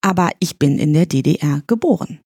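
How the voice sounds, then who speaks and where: A woman in her forties from Berlin speaks as a guest on an interview podcast, her voice husky and gravelly with a dark, mellow tone. She talks in a measured way, pausing and searching for words. The sound is dry and close. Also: sits low, lively intonation, no room sound. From East Berlin, Germany. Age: 40s. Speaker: candidate for pharmacists' chamber, guest.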